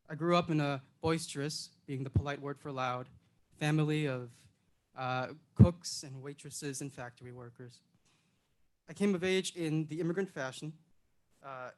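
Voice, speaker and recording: A young man from Illinois, a program intern, reading a prepared personal speech in an earnest, measured and reflective way. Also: on the high side. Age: young adult.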